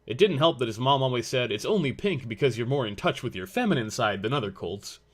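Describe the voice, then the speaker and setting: A man's voice: mid-range voice, animated, fast talker. A man reading scripted story narration as the narrator of a fan fiction audio drama.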